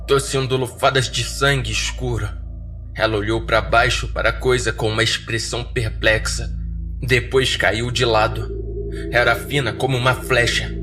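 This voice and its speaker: A man with a Brazilian accent reading scripted first-person horror fiction as a podcast narrator. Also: mid-range voice. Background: music bed.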